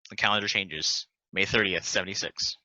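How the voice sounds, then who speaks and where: A man's voice: low-pitched, a little fast, bright, forceful tone, no room sound, poor audio. A man reading scripted stage directions as narrator in a play table read.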